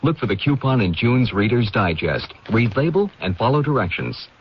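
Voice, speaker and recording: A man's voice: mid-range voice, sing-song, moderate pace, background noise. A man reading a scripted radio advertisement as an announcer. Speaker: commercial announcer. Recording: muffled old radio broadcast.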